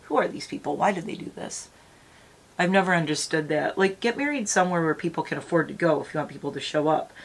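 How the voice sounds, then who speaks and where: Middle-aged female beauty vlogger, lowish voice with a touch of morning rasp, rambling casual chat. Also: expressive, fast. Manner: rambling, chatty, talks straight to viewers. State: slightly raspy morning voice. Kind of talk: casual makeup tutorial chat.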